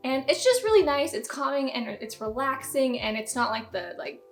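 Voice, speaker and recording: Young woman, late twenties, chatty upbeat vlogger, slightly high voice. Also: animated, normal speed. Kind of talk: casual chat to camera. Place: home, sitting down.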